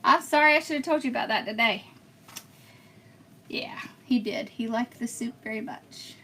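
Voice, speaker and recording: A chatty woman with a bright, somewhat high voice hosts a craft livestream from a room at home. She talks casually, answers viewer comments and laughs often as she works. A faint hiss of noise sits under her voice. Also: moderate pace. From born in Pennsylvania, raised in Georgia. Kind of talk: casual live-stream chat while crafting.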